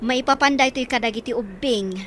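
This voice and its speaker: A woman's voice: a little high, a little fast, a bit bright, slight background noise, lightly acted. An actress playing a concerned, helpful woman in an Ilocano radio drama, speaking in an earnest, conversational way. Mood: earnest appeal. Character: concerned helpful woman taking in orphaned children.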